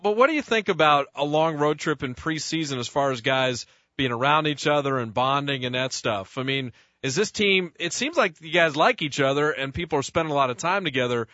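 A man's voice: a little high, animated, fairly quick, pushed and bright, no room sound, dull audio. A man hosting a sports radio show, asking chatty, rambling questions full of restarts. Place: radio studio.

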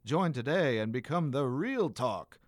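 A man's voice: medium pitch, expressive, measured pace, no room sound, studio quality, close to an everyday voice. A man playing a peppy 1950s announcer in a spoof radio commercial. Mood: salesy.

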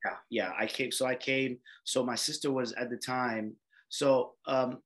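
A man's voice: mid-range voice, steady tone, unhurried, fairly bright tone. A man, an emergency doctor telling his life story in a casual interview, rambling with many fillers and restarts. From Haiti. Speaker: emergency medicine doctor, guest.

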